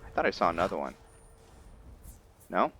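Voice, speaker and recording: A man's voice: low-pitched, big pitch swings, moderate pace, sharp tone, slight background noise. A man giving unscripted video game commentary, musing and hesitant as he thinks aloud while role-playing. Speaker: gaming video host, role-playing a character.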